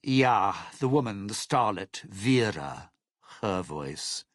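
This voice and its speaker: Suave scheming conman, old lounge singer type, raspy, slow-paced, sardonic, slangy showbiz patter, video game character, very dry close recording. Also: fairly low pitch, animated, no echo. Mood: wry. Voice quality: raspy, gravelly.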